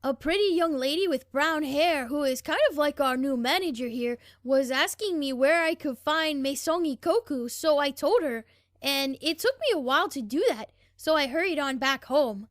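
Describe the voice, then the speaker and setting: A woman voicing an excitable, bashful little boy in a fan audio drama. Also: high voice, moderate pace, no echo, studio quality, close to an everyday voice. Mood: earnest.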